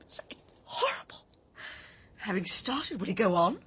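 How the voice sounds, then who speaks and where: An actress with a British accent playing an anxious, kindly neighbour in a radio drama, breathy, earnest and emotional. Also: female voice, mid-range voice, big pitch swings, a little slow, fairly bright tone, exaggerated voice. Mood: distressed, fearful. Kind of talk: naturalistic radio drama acting.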